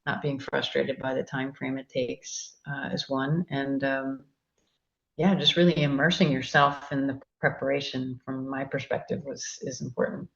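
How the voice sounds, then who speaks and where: A middle-aged woman from California, a guest giving measured, thoughtful advice in a casual conversation. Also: a bass voice, flat delivery, vocal fry, dull audio. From California, USA. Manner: measured, thoughtful, ends points with "right?". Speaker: interview guest.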